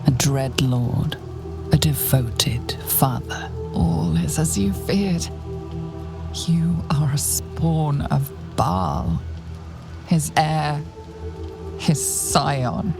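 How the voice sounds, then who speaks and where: Grave fantasy game narrator, heavy vocal fry, slow, hushed, ominous, music behind. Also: medium pitch. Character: grave, intimate narrator of a dark fantasy tale. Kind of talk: dramatic scripted second-person game narration.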